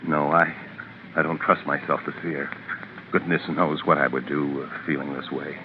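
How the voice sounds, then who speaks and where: A man's voice: very deep voice, little pitch movement, moderate pace, background noise. An actor playing a pompous, calculating lawyer who narrates his own crime, in 1940s radio style on a muffled old recording with music. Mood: anguished, pleading. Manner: pompous, self-satisfied, articulate.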